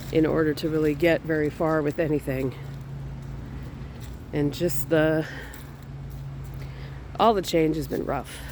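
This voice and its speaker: A slightly high-voiced man with a stuffy, congested sound talks casually while strolling outdoors. His delivery rambles and restarts. A faint hum of traffic and outdoor noise sits behind him. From Minnesota. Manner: relaxed, rambling, with small laughs and restarts. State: stuffy from a cold. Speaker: artist vlogger. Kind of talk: casual walking vlog. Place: outdoors in a cemetery on a fall day.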